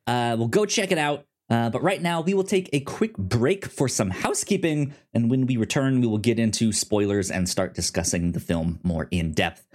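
A man's voice: mid-range voice, expressive, moderate pace, hardly any pauses, a little mellow. A man co-hosting a podcast in casual film discussion, often stammering and repeating words as he goes. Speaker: podcast co-host.

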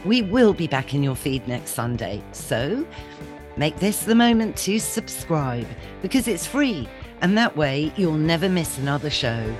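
A woman's voice: low voice, very animated, measured pace, music in the background. A woman hosting a podcast in a chatty, casual way, often saying "you know" and laughing lightly. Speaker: podcast host.

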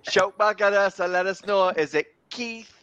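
Smooth-voiced easygoing man, dull audio. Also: high voice, normal speed, a bit bright. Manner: chuckling, easygoing. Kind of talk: casual chat.

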